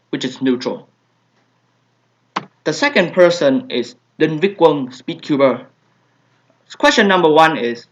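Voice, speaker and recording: A young man, a native Vietnamese speaker with a Vietnamese accent, answering viewer questions in a hesitant, casual way with many fillers. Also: fairly high pitch. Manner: hesitant, many fillers and soft trailing asides. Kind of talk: casual Q&A vlog. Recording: dull lo-fi home recording.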